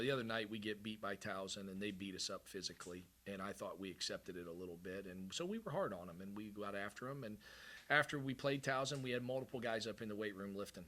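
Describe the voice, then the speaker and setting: A man's voice: on the low side, pushed and bright. A middle-aged man, a head coach, giving candid, rambling postgame press conference answers with frequent restarts.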